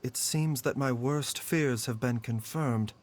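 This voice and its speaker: An actor playing a calm, courteous male doctor in a video game, reassuring and soft-spoken. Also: medium pitch, slow pace, a little hiss or hum, close to an everyday voice.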